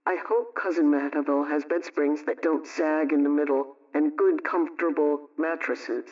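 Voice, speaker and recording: A man's voice: fairly high pitch, steady tone, normal speed, a little mellow, far from the mic, muffled recording. A man hosting a scripted old-time homemaking program, giving household advice in a chatty, instructive way.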